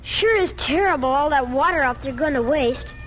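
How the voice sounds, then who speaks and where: High-pitched bossy little farm kid played by female. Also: expressive, normal speed, poor audio. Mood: eager, insistent. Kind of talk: 1940s radio acting. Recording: 1940s radio with faint noise.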